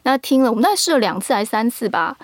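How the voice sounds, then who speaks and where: A woman's voice: fairly high pitch, sing-song, racing, no echo, clean studio sound. A woman speaks candidly and explains at length, as a guest in a casual podcast interview. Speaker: audio drama producer and casting director, guest.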